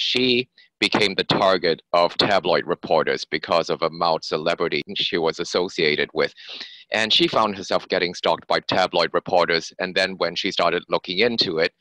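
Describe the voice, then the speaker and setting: A man with a very low voice and a bright, clear edge talks as a guest author in an interview. He explains at length, conversationally, in a dry, close-sounding recording. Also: no echo, low-quality recording.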